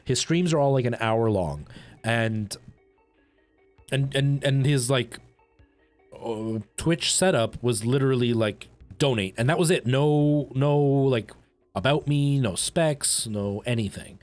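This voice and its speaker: A man hosting a livestream, chatting casually and colloquially with his viewers in a rambling, animated way. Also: mid-range voice, slow pace, soft background music. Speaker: live streamer and host.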